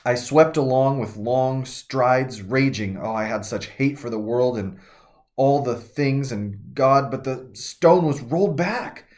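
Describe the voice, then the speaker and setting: A fervent woman disciple pours out her shaking grief and wonder in an urgent, intimate confession, as a man reads her in the first person. Her voice sits at an ordinary middle pitch. It is a devotional reading told in character. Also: a little mellow, close to an everyday voice. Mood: distraught. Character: shaken grieving woman disciple, fervent and awestruck.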